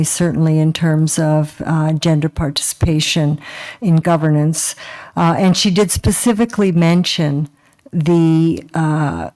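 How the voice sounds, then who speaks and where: A woman councillor speaks slowly and haltingly, with many pauses and fillers. Her voice is low and smooth, and her pitch stays flat as she reports to the meeting. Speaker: town councillor.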